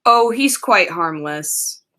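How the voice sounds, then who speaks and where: A young woman acting a mild, courteous older clergyman in a high school radio play, measured and polite. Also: expressive, bright, forceful tone, exaggerated voice.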